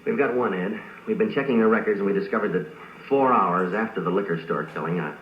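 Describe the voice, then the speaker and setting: Actor as a low-voiced American police detective, old radio. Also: male voice, fast, roomy sound, lightly acted. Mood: matter-of-fact. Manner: clipped, understated, matter-of-fact questioning. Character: calm, methodical male police detective sergeant. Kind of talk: 1940s radio police drama acting. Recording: muffled noisy 1949 radio playback in room.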